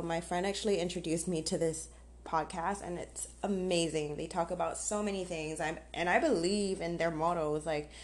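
A young woman hosts a casual solo podcast in a voice that sits a little low. She talks candidly and informally, as if chatting to friends. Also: darkish tone, a little hiss or hum. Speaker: podcast host. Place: outdoors on a patio.

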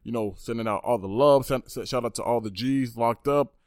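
A man co-hosting a casual podcast, laid-back and teasing, with low mumbled asides. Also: brisk, dry recording, studio quality. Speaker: podcast co-host. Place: indoors at home.

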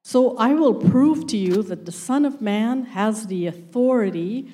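Woman reader, English as second language, slow deliberate scripture reading, mellow tone. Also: on the high side, lively intonation, rough recording. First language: not English. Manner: slow, careful reading with occasional stumbles.

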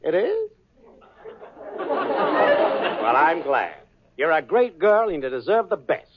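An actor playing a cocky, smooth-talking young schemer in a 1940s radio sitcom, breezy and full of slang. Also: male voice, on the high side, huge pitch swings, slow pace, a loud crowd, exaggerated voice. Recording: lo-fi old radio broadcast with audience laughter and hiss.

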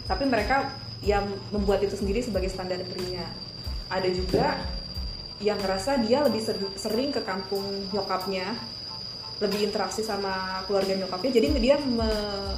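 Relaxed Jakarta woman explaining calmly. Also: medium pitch, normal speed. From Jakarta, Indonesia. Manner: thoughtful, explains with examples, relaxed slang. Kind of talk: casual chat. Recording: echoey room with background music.

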